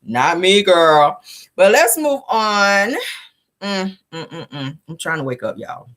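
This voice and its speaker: A woman with a low-pitched voice hosts a gossip news show. She talks casually and slangily, addressing her viewers like friends as she gives her commentary. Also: expressive, slow, fairly bright tone. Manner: informal, slangy, addressing viewers as guys and honey.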